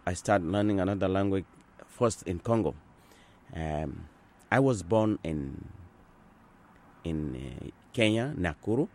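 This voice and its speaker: A man in his sixties from Sudan, an Azande speaker talking in English, answering interview questions in an earnest, unhurried way with frequent pauses and restarts. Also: sits low, darkish tone. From South Sudan. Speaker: interviewee, translator. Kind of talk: conversational interview.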